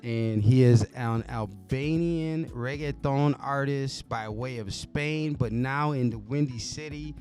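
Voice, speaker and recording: A man's voice: mid-range voice, slow pace, few pauses, a little mellow, soft background music. A man hosting a casual studio interview, upbeat and slangy like a hype man. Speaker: host.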